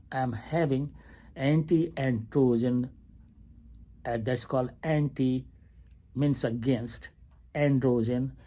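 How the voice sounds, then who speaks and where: An eighty-year-old man records a video diary while waiting at a hospital. He speaks in a slow, halting way, full of pauses and fillers. His voice has a mellow, unhurried tone. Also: medium pitch. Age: 80s. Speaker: retired family physician.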